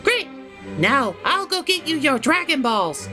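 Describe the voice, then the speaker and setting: A man voicing a brisk, businesslike little female smuggler in a squeaky falsetto for a comedy skit, over guitar music. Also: big pitch swings, exaggerated voice. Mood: brisk, demanding.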